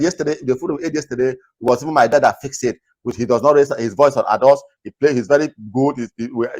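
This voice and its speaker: Male host from Nigeria, naturally loud, earnest and advisory, mid pitch. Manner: earnest, repetitive, advising viewers. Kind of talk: casual commentary to camera.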